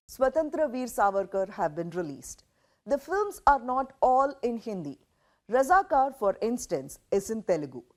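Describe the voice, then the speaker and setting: A woman with an Indian accent reading a scripted news explainer in a measured, emphatic way. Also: on the high side, darkish tone.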